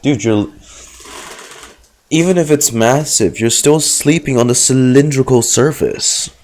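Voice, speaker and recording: A man's voice: mid-range voice, measured pace, a little mellow. A man giving casual, blunt, informal reaction commentary as a game streamer.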